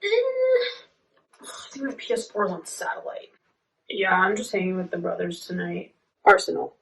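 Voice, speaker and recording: A woman's voice: on the low side, steady tone, moderate pace, creaky, fairly bright tone. Brief: casual woman, distant sound. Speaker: performer in a skit. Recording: mic far off.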